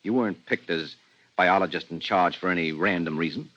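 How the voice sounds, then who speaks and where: Low-voiced, guarded investigator. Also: male voice, fairly quick, fairly bright tone. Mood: weary, curt. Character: guarded, curt police investigator husband. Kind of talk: 1950s radio drama acting. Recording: dull vintage 1950s radio recording.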